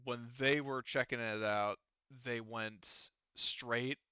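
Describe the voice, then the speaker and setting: A man narrates a tabletop game as game master in a bright, smooth voice. He explains casually and haltingly, circling back with asides. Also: medium pitch, fairly even intonation, dry recording, dull audio.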